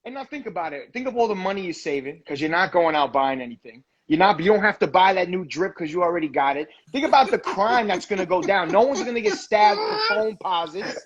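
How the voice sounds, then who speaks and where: A man's voice: high voice, lively intonation, fast talker, few pauses, highs cut off. A man, a comedian guest riffing brashly and playfully, swearing often.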